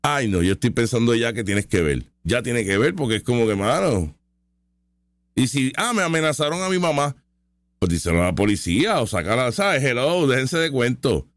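A man rants sarcastically as he comments live on clips. His pitch sits fairly high and jumps around a lot. The sound is dry and close, with no room around it. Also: big pitch swings, normal speed, no echo. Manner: sarcastic, heated and argumentative. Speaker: online commentary host.

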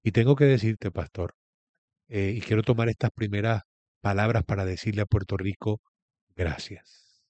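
Man with a low, warm voice. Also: steady tone, normal speed, long pauses, no echo. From Venezuela. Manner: earnest, preacherly storytelling. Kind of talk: interview testimony.

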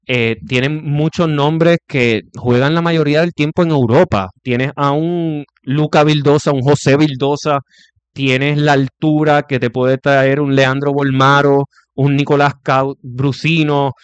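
A man's voice: a little high, fairly even intonation, smooth, no room sound. Man breaking down basketball. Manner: breaks down opposing players, lists names with pauses. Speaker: sports show host. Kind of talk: basketball analysis talk.

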